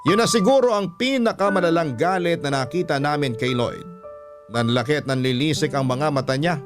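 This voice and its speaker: A man's voice: a little high, fairly quick. A man with a Filipino accent giving scripted narration as the storyteller of a classic-style radio drama. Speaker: storyteller and host. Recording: music behind the voice.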